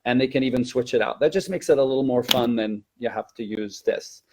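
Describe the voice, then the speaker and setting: A man's voice: mid-range voice, a little fast, background noise. Man explaining as a teacher, self-correcting. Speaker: elementary Spanish teacher leading a webinar. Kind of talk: explanatory teacher-training talk.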